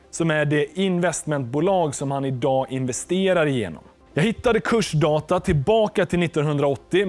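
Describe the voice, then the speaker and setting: Young man, finance educator, steady explaining, slightly high pitch, lapel mic, faint background music. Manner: clear, steady explaining, gesturing at a screen. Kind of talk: explaining with charts. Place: studio in front of a large display screen.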